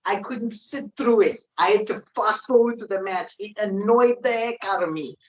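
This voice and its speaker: A man's voice: high-pitched, moderate pace. Ranting man on a lo-fi podcast. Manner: opinionated, ranting. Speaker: podcast co-host. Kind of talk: casual wrestling predictions chat. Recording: dull lo-fi sound.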